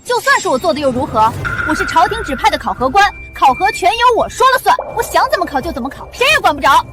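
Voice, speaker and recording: A woman's voice: very high-pitched, big pitch swings, fast, music in the background. An actress playing a haughty, defiant female military officer in a costume drama, brazen and scornful. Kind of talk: costume short-drama acting.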